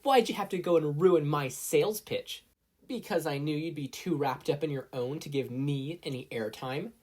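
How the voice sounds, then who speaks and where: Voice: medium pitch, moderate pace, a little mellow, voice pushed up, lightly acted. A narrator voicing an indignant, sulky teenage girl inventor in a story.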